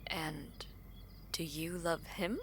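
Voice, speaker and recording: Lady doing a proper guarded royal in audio roleplay, slow measured lowish voice, some background noise. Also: steady tone, a bit bright, heavily acted. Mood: probing. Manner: formal, measured, halting phrases. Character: composed, courteous royal sibling, guarded and probing. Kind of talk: romantic audio roleplay acting.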